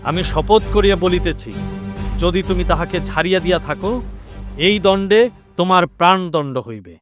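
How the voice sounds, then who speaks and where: A man's voice: sits high, moderate pace, over music, natural voice. A male actor playing a formal, authoritative caliph in an audio drama. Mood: stern, threatening. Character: stern, commanding male caliph who can relent graciously. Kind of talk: Bengali audio drama acting.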